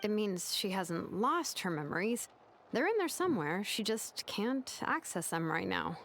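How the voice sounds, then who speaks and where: Casting: smart, laid-back young female engineer in a game, mid register, expressive intonation. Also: medium pitch, measured pace, slight background noise. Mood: explanatory. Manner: casual, analytical, explanatory. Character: brainy young female mechanic, casual and thoughtful. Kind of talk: video game voice acting.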